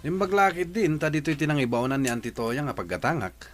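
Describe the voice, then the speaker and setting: A man's voice: a little high, fairly quick, soft background music, close to an everyday voice. A man playing a playful, flirtatious village bachelor in a comedy radio drama, with an Ilocano accent.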